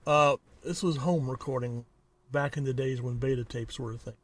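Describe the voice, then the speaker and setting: A man's voice: medium pitch, fairly even intonation, moderate pace. A middle-aged or older man co-hosting a podcast, chatting casually with dry, wry asides. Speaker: podcast co-host. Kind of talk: casual chat.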